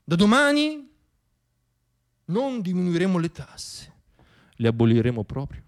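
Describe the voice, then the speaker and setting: Man acting male politician, high pitch, pompous, declamatory, grandiose promises, satirical radio theatre. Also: wildly swooping pitch, measured pace, full character voice. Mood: grandiose promising. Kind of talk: satirical stage monologue.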